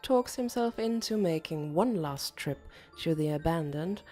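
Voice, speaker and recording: A woman narrating an audiobook as a pensive, uneasy teenage boy, in a measured, introspective way. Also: low-pitched, lively intonation, mellow, faint music behind. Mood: pensive, resolute.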